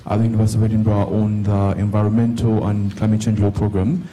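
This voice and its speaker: Deep, dark-voiced man from Uganda. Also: completely flat delivery, fast. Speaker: audience member asking a question. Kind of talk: question at a panel discussion.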